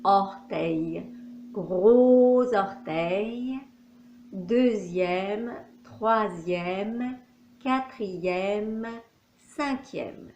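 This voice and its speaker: Woman leading guided relaxation, super slow and measured, roomy echo, bit of background noise. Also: medium pitch, lo-fi sound. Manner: calm, measured, instructional, with long pauses. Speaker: yoga nidra teacher.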